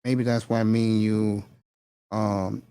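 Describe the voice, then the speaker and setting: A man hosting a casual conversation, speaking earnestly and encouragingly with many pauses and fillers. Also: fairly low pitch, flat delivery, smooth. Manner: warm, encouraging, hesitant fillers. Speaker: host.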